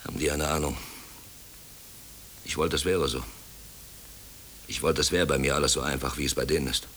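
An actor playing a brusque, overworked middle-aged company director in a German radio play, officious and self-pitying. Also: male voice, very deep voice, steady tone, brisk, a bit bright. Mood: bitter, brooding. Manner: brusque, officious, brooding when alone. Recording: hissy old radio play recording.

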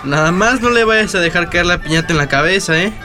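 A man's voice: high-pitched, animated, fast talker, over music, crowd noise. A male actor playing a helpful, teasing boy with a Mexican accent in a radio soap.

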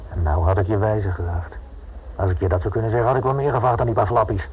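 An actor playing a gruff, desperate small-time crook in a radio play, wary and streetwise in his talk. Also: on the low side, fairly even intonation, quick pace, close to an everyday voice. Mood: wary, confiding. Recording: muffled, noisy old radio recording.

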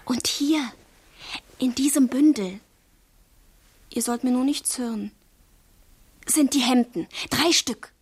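A young village tomboy in a radio play, with a fairly high, slightly husky voice. She speaks earnestly and frankly, naive and openly in love. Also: takes it slowly, long pauses, heavily acted. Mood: artless, chatty. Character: young village girl in boys' clothes, bold, lovestruck, artless. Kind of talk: literary radio drama acting.

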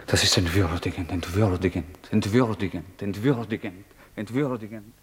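An actor playing a proud, destitute young law student in a German radio play, intense and brooding. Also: male voice, lowish voice, moderate pace, a little hiss or hum, lightly acted. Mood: proud, agitated.

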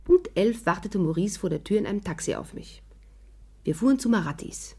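An actress playing a cool, mocking young barmaid in a 1950s German radio play, with a teasing, flippant delivery. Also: female voice, mid-range voice, lively intonation, fairly quick, darkish tone, breathy edge. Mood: wry narrating.

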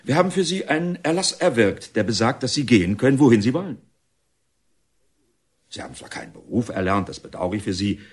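A stern middle-aged director of a reformatory in a radio drama. His voice is husky and rises and falls widely as he speaks in formal, deliberate, self-important phrases. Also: medium pitch, animated. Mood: firm, official. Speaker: actor. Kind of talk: German radio drama acting.

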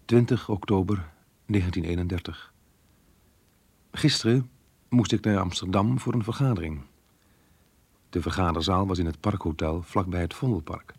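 Radio drama: remorseful married diarist, low register, slightly rough tone, reflective and measured. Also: male voice, a little mellow, no echo. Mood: melancholy, wistful. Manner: earnest, reflective, measured delivery. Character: married man, melancholy diarist torn by guilt over lost love. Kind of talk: radio drama monologue, reading a diary.

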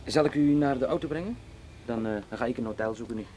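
An actor playing a courteous, flirtatious Flemish police detective in a naturalistic radio drama, speaking hesitantly with dry humour. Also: male voice, medium pitch, quick pace, darkish tone, a little hiss or hum, close to an everyday voice, poor audio. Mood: wry, courteous. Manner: hesitant, dryly joking, conversational.

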